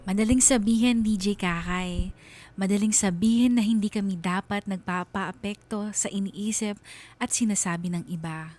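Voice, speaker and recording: A man's voice: sits high, fairly even intonation, normal speed, a little mellow, soft background music, lightly acted. An actor playing a troubled young boyfriend who narrates his love story in a reflective, confessional way for a radio drama. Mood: doubtful.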